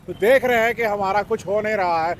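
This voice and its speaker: Emphatic eastern man, slightly high voice. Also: brisk. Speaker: member of the public being interviewed. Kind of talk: spontaneous opinion in a street interview. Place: outdoors in an urban area. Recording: outdoor street with faint traffic noise.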